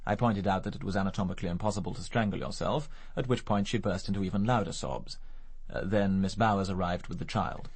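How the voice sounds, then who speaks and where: An urbane English murder suspect in a radio drama, sardonic and evasive. His low voice is slightly husky, and he rattles along with dry, flippant articulacy. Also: fast talker, few pauses. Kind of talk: BBC radio drama acting.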